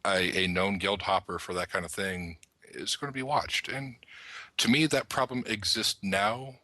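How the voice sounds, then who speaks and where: Deep-voiced man talking on a podcast. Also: steady tone, moderate pace, pushed and bright, dry recording. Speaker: podcast panelist. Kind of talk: panel discussion of an online roleplaying game.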